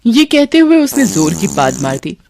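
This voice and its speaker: A woman's voice: a little high, sing-song, normal speed, creaky, darkish tone, a little hiss or hum. A woman narrator reading a scripted storybook tale.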